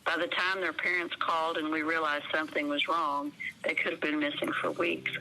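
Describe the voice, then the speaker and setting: Female ranger, American accent, creaky forceful voice, guarded, matter-of-fact, faint noise, radio drama interview. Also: low-pitched, flat delivery, fairly quick, faint music behind, rough recording. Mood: defensive, weary. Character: guarded, blunt woman park ranger investigator. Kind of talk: acted interview answers.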